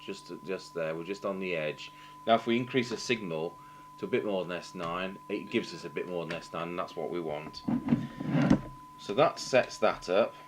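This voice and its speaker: A middle-aged man giving an unscripted technical demonstration as a radio engineer, haltingly thinking aloud. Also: sits low. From England. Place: indoor workbench, voice off camera. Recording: noticeable background noise with hum.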